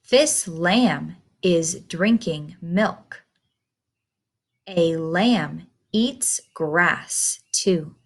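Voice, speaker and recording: A woman reading a children's picture book aloud in a simple, deliberate, instructive way, putting questions to the listener. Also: lowish voice, creaky.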